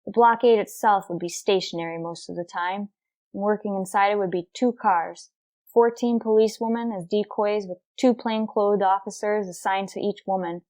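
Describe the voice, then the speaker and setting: A woman's voice: medium pitch, moderate pace, lightly acted. A woman playing a determined, businesslike police detective sergeant, narrating a radio crime drama in a clipped, matter-of-fact way. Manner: terse, deadpan, procedural. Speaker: narrator and lead actor.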